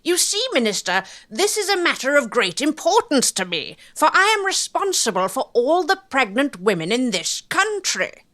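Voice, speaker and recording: A woman's voice: high-pitched, sing-song, moderate pace, sharp tone, pitched above the performer's own voice, heavily acted. A woman voicing a pompous, formal male senior obstetrician, quoted within a documentary. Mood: self-important.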